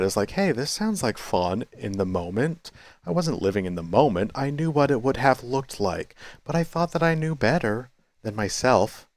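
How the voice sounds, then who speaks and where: A man with a deep voice muses aloud in a casual vlog, circling back and correcting himself as he goes. The sound is dry and close, with little room around it. His pace stays loose and conversational. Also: no echo. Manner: thinking aloud, many restarts and self-corrections; quits smoking, chews sunflower seeds. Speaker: vlogger. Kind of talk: rambling reflective monologue. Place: cafe.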